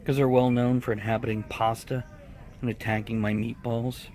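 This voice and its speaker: A man's voice: medium pitch, flat delivery, normal speed, a little mellow. A man giving casual, joking commentary on products in a crude, wisecracking manner. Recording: noisy background ambience.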